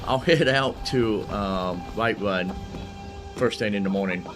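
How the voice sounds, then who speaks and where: A man's voice: lowish voice, a little slow, music in the background. A man giving casual, rambling, folksy commentary as a gaming streamer. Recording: loud background noise under commentary. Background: game ambience and dialogue.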